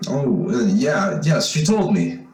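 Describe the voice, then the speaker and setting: A man's voice: medium pitch, big pitch swings, slow pace, a little mellow. A man acting an eager, uneasy young man in an old-time radio drama, with boyish, hesitant slang. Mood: flustered. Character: eager, uneasy young man back home, telling his story. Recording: roomy echo, music behind.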